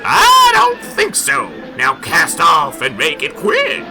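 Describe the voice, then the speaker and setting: A man's voice: a little high, huge pitch swings, loud music behind the voice, full character voice, rough recording. A man voicing a greedy, scheming racketeer boss from a comic, with a hammy, theatrical delivery. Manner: drawn-out, hammy.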